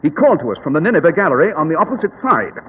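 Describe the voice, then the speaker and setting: An actor in a 1940s radio drama playing a shrewd, self-assured English detective, brisk, commanding and precise. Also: male voice, a little high, sing-song. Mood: confident, explanatory. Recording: tinny, noisy old radio recording, reverberant.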